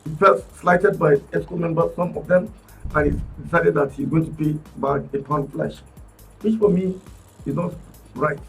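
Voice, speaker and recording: A man with a Nigerian accent, arguing politics on a radio talk panel in an animated, emphatic way, often restarting his words. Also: a little high, normal speed, gravelly, far from the mic, soft background music, low-quality recording. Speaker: political commentator guest. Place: radio studio.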